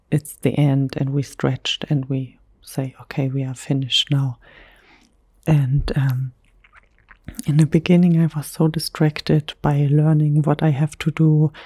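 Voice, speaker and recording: A German woman, a native German speaker talking in English, whispering softly in a gentle ASMR chat. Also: sits low, no echo. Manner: soft, slow, unhurried whisper with gentle pauses. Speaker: ASMR creator.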